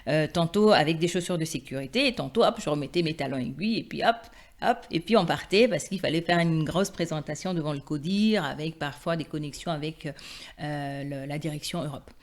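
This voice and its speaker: A middle-aged woman from the Democratic Republic of the Congo telling her own story conversationally, animated and often searching for her words. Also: sits low, fairly quick. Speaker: interview guest, independent export consultant. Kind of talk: personal testimony, conversational storytelling.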